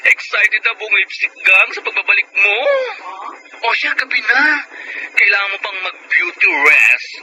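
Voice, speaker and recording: A performer playing an excitable, campy friend in a Tagalog radio drama, with an exclamatory, teasing delivery. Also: mid-range voice, unhurried. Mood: excited, playful. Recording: very noisy radio drama recording.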